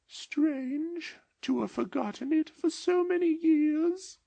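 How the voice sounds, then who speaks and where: A woman voicing a gentle, otherworldly ghost of the past in an audiobook dramatization, speaking slowly and solemnly. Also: high voice, creaky, dry recording, full character voice. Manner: slow, solemn and mild.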